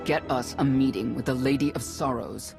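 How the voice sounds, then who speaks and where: Righteous female knight for a game: blunt, commanding, gravel-edged voice, music underneath. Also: a bass voice, moderate pace. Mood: firm, terse. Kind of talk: video game cutscene acting.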